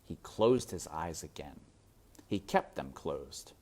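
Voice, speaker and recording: A woman reading a story aloud with dramatic, suspenseful narration that is sometimes hushed. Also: a bass voice, lively intonation, takes it slowly. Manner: suspenseful, hushed at times, theatrical. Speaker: storybook reader.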